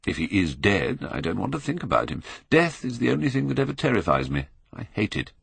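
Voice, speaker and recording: Man acting cynical Victorian gentleman, British accent, blasé drawl, husky, swooping delivery, storybook reading. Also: fairly low pitch, big pitch swings, brisk, no room sound, heavily acted. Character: languid, witty, cynical Victorian aristocrat, older gentleman.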